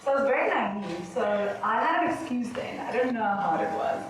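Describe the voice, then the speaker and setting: Loud-voiced woman founder. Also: fairly high pitch, sing-song, a little fast, noisy background. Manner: frank, loud voice. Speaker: startup founder on a panel. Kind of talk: candid panel answer. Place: conference venue. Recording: echoey event room.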